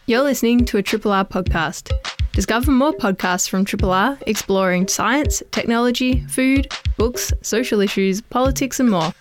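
A woman reading a scripted radio station promo in a bright, upbeat tone. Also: on the high side, normal speed. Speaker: station announcer. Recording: voice with music bed.

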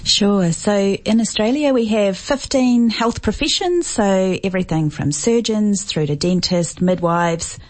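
A woman from New Zealand with a New Zealand accent, calmly explaining her research as a guest on a radio talk show. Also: mid-range voice, unhurried, few pauses. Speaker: health complaints researcher, studio guest. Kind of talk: radio interview.

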